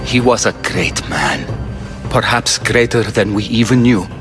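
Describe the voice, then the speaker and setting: An actor playing an earnest, loyal healer of ancient Greece in a video game cutscene, sincere and gentle. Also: male voice, fairly low pitch, animated, unhurried. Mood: solemn. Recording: music behind the voice.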